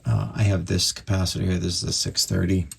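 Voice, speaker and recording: A man's voice: low-pitched, level tone, a little fast, gravelly, slight background noise. A man casually narrating a radio repair at his workbench, thinking aloud with fillers as he works.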